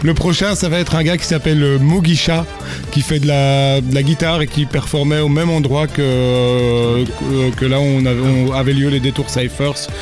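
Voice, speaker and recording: A man's voice: mid-range voice, fairly even intonation, normal speed. Male guest, casual and rambling, music bed under voice. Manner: rambling, laughing, many restarts. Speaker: festival organizer guest. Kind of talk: casual radio chat. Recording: radio studio with music underneath.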